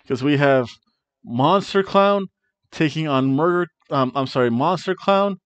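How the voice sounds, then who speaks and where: A middle-aged man talks slowly and playfully through his wrestling picks, drawing out the names with rolled R's. He speaks into a headset mic in a small room at home. The sound is dry and a bit dull and lo-fi. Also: fairly high pitch, animated, no room sound. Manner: playful, rolls R's on wrestler names, hums dramatic tunes. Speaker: wrestling review host. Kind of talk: casual predictions chat to camera.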